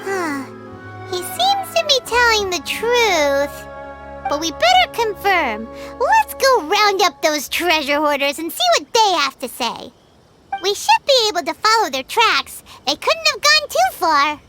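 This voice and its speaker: A woman voicing a small, curious, chatty childlike fairy companion with a squeaky voice in a video game. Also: very animated, a little slow, loud music behind the voice, heavily acted. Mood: puzzled. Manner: refers to self by name, inquisitive. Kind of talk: video game cutscene dialogue.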